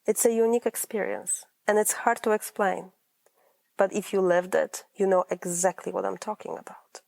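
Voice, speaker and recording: A woman's voice: mid-range voice, steady tone, moderate pace, creaky, a little mellow, no echo. A woman in her thirties from Poland with a Polish accent, hosting her own podcast as a life coach in an earnest, personal way with rolled R's. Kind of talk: scripted podcast monologue.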